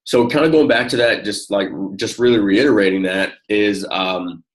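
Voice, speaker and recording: A man with a low-pitched voice gives one-on-one coaching in a casual, encouraging way. He talks in halting, informal phrases, circling back as he explains. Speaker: network marketing coach.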